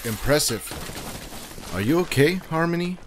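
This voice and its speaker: A man's voice: fairly high pitch, expressive, moderate pace, lightly acted. An actor playing a wisecracking spaceship crewman in a sci-fi audio drama, casual and joking. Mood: playful concern. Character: flippant, wisecracking male crewman hero. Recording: noisy ambience behind.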